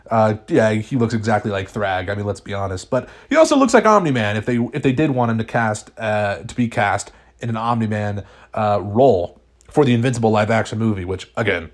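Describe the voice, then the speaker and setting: Young guy vlogging, kinda rambly, lots of ums and restarts, voice pops up high on new points. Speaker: YouTube host. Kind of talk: casual speculation vlog.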